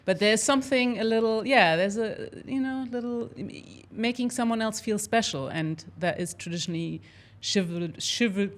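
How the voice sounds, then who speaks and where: A woman biologist talking in a casual discussion, measured and hesitant, with many fillers. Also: mid-range voice.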